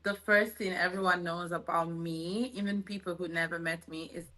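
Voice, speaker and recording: A woman's voice: on the low side, steady tone, normal speed, bright, forceful tone. A young woman from Italy whose first language is Italian, a guest chatting on a dating show, giggly and a little shy. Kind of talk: casual interview chat.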